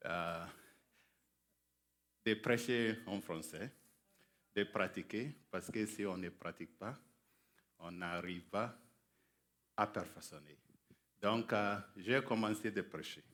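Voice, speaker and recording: Slow, low-voiced preacher. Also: male voice, a bit bright. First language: English. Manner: halting, careful beginner's French. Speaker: guest preacher. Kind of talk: sermon introduction. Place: church sanctuary.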